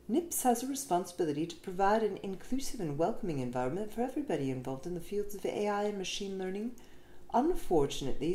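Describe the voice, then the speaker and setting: A woman's voice: fairly low pitch, mellow. A woman reading an essay aloud as scripted narration, in a roomy recording with faint noise behind her. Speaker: reader of an essay.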